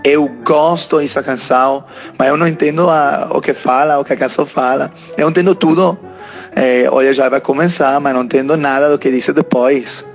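A man's voice: medium pitch, steady tone, moderate pace, faint music behind. A man in his twenties from Italy, an Italian speaker talking in Portuguese with an Italian accent, sending a listener message with hesitant phrasing and frequent fillers. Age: 20s.

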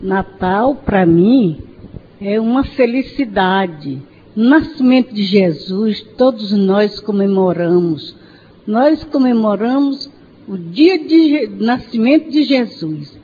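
A woman's voice: medium pitch, lively intonation, slow, husky. A 79-year-old Brazilian woman talking simply in short phrases as a radio guest. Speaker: student guest on radio show. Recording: dull audio with faint noise.

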